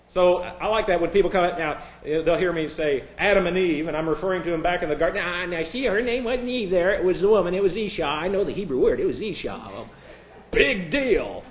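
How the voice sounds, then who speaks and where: Echoey preacher, a man from Indiana. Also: high-pitched. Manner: conversational preaching with asides and self-deprecating jokes; reads scripture aloud in a raised, formal voice. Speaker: pastor. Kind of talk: sermon. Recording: echoey room.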